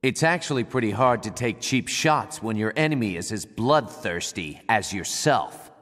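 An actor playing a cocky, laid-back assassin swordsman in a video game, wisecracking and profane with a gravelly voice. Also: on the low side, lively intonation, moderate pace, a bit bright. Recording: long reverb, music behind.

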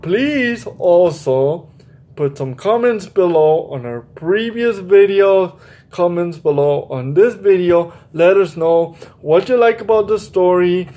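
Slow-talking young man hosting story time. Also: high-pitched, expressive, warm tone. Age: young adult. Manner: halting, repeats phrases. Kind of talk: children's story time, read-aloud and chat to viewers. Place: small bright room indoors.

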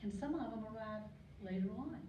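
An older woman giving an informal slide talk at a podium, chatty and digressive, with frequent ums and throat clearing. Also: medium pitch, animated, fairly quick. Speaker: guest speaker on local birds. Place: lecture hall. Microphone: podium mic, recorded by a far camera. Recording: distant echoey hall recording, muffled, noisy.

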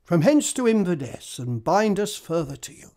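A woman playing a gracious, emotional king in a Shakespearean radio drama, stately and effusive, with drawn-out phrasing. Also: on the low side, sing-song, mellow. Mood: moved, ceremonial. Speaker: actor in an amateur radio drama.

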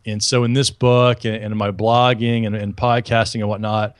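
A man's voice: lowish voice, flat delivery, moderate pace. A man in his thirties from Alabama, a guest chatting upbeat and talkative on a video call through an earbud headset mic, restarting his sentences often. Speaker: podcast guest. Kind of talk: casual video-call interview.

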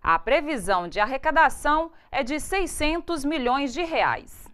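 High-voiced woman news anchor. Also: expressive, measured pace, fairly bright tone. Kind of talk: scripted TV news presenting. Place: TV studio. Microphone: lapel mic.

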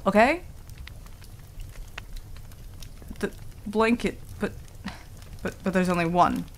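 A woman's voice: mid-range voice, a bit bright, a little hiss or hum, natural voice. A woman playing a stubborn, easily flustered female werewolf who stammers defensively, in an ASMR roleplay. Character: flustered, stubborn tsundere female werewolf, young, secretly affectionate.

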